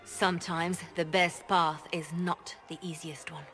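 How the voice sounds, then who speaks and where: Female spymaster, cool, calculating, grave, lower pitch, game dialogue, soft music. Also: flat delivery, measured pace. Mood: calm, calculating. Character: coolly pragmatic, ruthless female spymaster. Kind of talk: video game dialogue acting.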